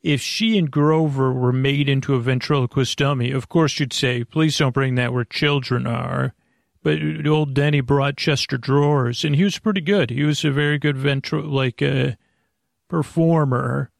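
An American man hosting a sleep podcast, talking in a meandering, hesitant, reassuring monologue with a gravelly voice. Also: mid-range voice, steady tone, normal speed, dry recording, clean studio sound. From United States. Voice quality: creaky, gravelly. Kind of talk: rambling casual monologue.

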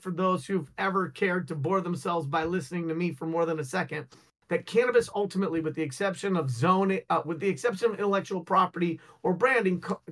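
A man's voice: high-pitched, a bit bright. A man working as an industry correspondent, reading a news article aloud and then giving wordy, self-deprecating commentary on it.

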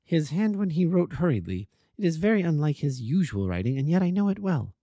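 A man voicing an anxious, earnest Victorian wife, raising his voice high and pleading. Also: very animated, brisk, dark tone, no echo, studio quality.

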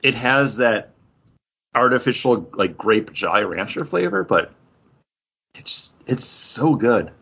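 A man's voice: medium pitch, expressive, slow, pushed and bright, husky, highs cut off. A man co-hosting a podcast, chatting casually about comic books in a rambling, conversational way. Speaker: podcast co-host. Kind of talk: casual comic book review chat.

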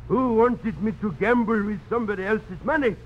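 A high male voice on a muffled old radio recording. a bitter, drunken gambler, ruined and self-pitying, in overwrought 1950s radio drama acting. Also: moderate pace. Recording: muffled old radio broadcast, faint hiss.

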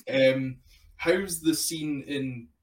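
A man in his twenties hosting a casual interview, jokey and quick to laugh. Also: medium pitch, echoey room, distant mic. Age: 20s. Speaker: host.

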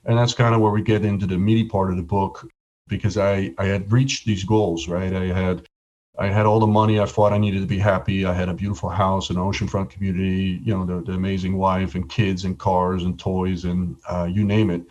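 A middle-aged man from the Netherlands speaks in a very deep voice. He tells his story in a relaxed, casual way and often repeats a word as he starts a sentence. The sound is a little dull and lo-fi. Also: fairly quick. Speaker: podcast guest.

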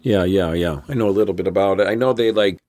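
A man's voice: low-pitched, a little mellow. A man co-hosting a casual podcast, mostly reacting to his partner with short comments and jokey asides. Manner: chatty, quick backchannel reactions and asides. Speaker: podcast co-host.

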